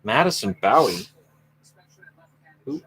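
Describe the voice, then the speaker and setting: A man's voice: fairly low pitch, big pitch swings, slow pace, fairly bright tone, breathy edge, poor audio. A Canadian man hosting a casual live chat about hockey news, rambling and self-mocking. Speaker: hockey channel host.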